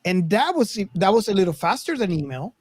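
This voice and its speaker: A man's voice: sits high, very animated, brisk, no echo. Man chatting casually, very dry sound. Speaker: podcast co-host. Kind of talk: casual chat, reminiscing.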